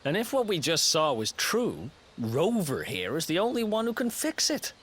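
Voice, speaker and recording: A man's voice: on the high side, wildly swooping pitch, normal speed, fairly bright tone, breathy edge, heavily acted. A man playing a cheeky, streetwise young adventurer in a video game, with a casual, teasing, wry manner. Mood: baffled, wry. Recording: game music behind.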